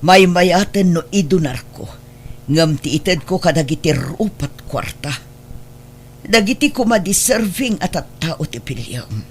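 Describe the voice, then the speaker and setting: A woman's voice: fairly low pitch, expressive, unhurried, slight background noise. An actor playing a stern, resolute older wealthy adoptive mother with an Ilocano accent in a radio drama. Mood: solemn, measured.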